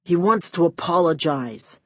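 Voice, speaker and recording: A woman's voice: on the low side, animated, unhurried, dry recording. A woman voicing a gushing, shifty male film studio boss in an audiobook, effusive and slangy in a confiding way.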